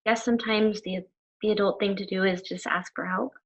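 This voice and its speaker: An actress playing a candid, slightly awkward teenage daughter in a sitcom table read over a video call. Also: female voice, mid-range voice, steady tone, brisk, close to an everyday voice. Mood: apologetic.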